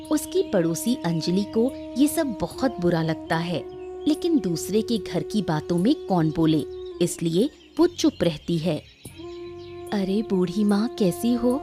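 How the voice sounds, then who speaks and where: A woman's voice: lowish voice, big pitch swings, a little slow, music in the background. A woman narrator telling a scripted story.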